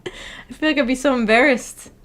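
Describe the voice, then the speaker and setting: Female streamer, fairly high voice, giggly and rambling, for casual book club chat. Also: normal speed, fairly bright tone.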